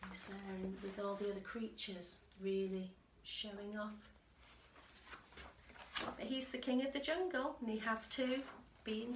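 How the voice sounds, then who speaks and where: A woman with a fairly high voice reads a picture book to young children. She takes her time and tells it expressively, with emphatic phrasing and chatty asides. The room gives her voice a clear echo. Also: slight background noise. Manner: animated, deliberate storyteller with emphatic delivery. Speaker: infant school teacher reading aloud. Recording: roomy, echoey indoor sound.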